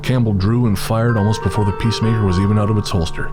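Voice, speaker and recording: A man's voice: lowish voice, steady tone, rapid-fire, over music. A man narrating a western horror story in a dramatic, scripted audio drama style.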